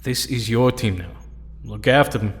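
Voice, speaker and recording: A man playing a warm, encouraging young hero in an audio drama, talking casually like a good buddy. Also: mid-range voice, normal speed, faint music behind, lightly acted. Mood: encouraging, fond. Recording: long hall reverb.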